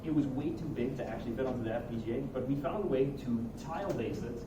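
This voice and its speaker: A man's voice: medium pitch, fast talker. A young man speaks as a student presenter, giving an informal technical presentation. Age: young adult. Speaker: student presenter introducing a game project. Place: large echoey indoor room at a student showcase. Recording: distant mic, echoey room, heavy noise.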